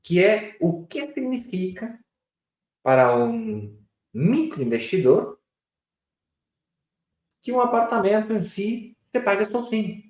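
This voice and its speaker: A man's voice: high voice, big pitch swings, slow, long pauses, darkish tone, reverberant. A man from Chile with a Chilean Spanish accent, hosting a casual talk as a real estate broker, chatty and correcting himself as he goes. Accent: Chilean Spanish accent in Portuguese. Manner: chatty, self-correcting, drifts into Spanish words. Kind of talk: casual presenting to camera.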